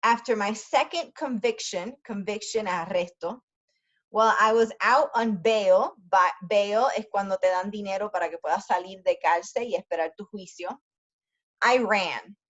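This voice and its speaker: A woman teacher reading a story aloud for language learners, pausing often to explain words in quick asides. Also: medium pitch, dull audio.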